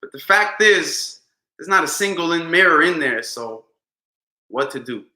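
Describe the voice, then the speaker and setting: A man's voice: a little high, animated, measured pace, bright, forceful tone, roomy sound. A man performing a spoken word poem with a rhythmic, dramatic delivery and shifting pace. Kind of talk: slam poetry performance.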